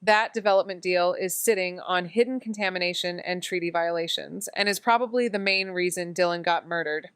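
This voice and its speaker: A woman's voice: lowish voice, fairly quick, few pauses, fairly bright tone, smooth, no echo, lightly acted. A woman playing a wry, blunt amateur sleuth in a noir audio drama, casual and sardonic in manner. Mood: insistent.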